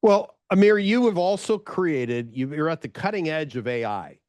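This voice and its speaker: Chatty American podcast host. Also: male voice, fairly high pitch, lively intonation, moderate pace, a little mellow, no echo. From United States. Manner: chatty and rambling, with frequent restarts. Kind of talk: casual interview chat.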